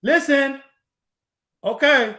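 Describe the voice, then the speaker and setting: Male lecturer with a high voice, preachy and emphatic, hammers points by repetition and rhetorical questions, casual grammar. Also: very slow, pushed and bright. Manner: street-style informal grammar. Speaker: lecturer on Moorish American history.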